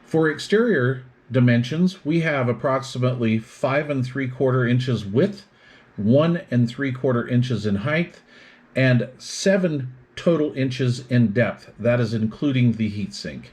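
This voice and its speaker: A man's voice: mid-range voice, lively intonation, measured pace, darkish tone. A man giving an explanatory product demonstration, methodically listing features in short phrases as a reviewer.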